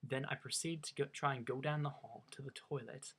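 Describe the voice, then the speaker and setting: A young man with a faint Norwegian accent tells a personal story casually. He talks in a chatty, self-mocking way and often stops to restart his sentences. His voice sits at an ordinary pitch for a man. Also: fairly even intonation, brisk, fairly bright tone, slightly rough. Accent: slight Norwegian. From United States. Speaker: vlogger.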